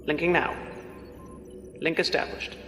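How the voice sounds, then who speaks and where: A man's voice: fairly high pitch, little pitch movement, measured pace, bright, forceful tone. A man playing a dutiful bridge officer in an audio drama, speaking in clipped, formal reports. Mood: dutiful, alert. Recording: echoey hall reverb, music behind.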